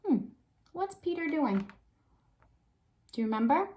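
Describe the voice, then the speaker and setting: A woman's voice: high voice, big pitch swings, a little slow, mellow. Woman teacher reading aloud to children. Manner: thinks aloud with prompting questions and check-in tags. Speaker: elementary school teacher. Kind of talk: read-aloud reading lesson for young children.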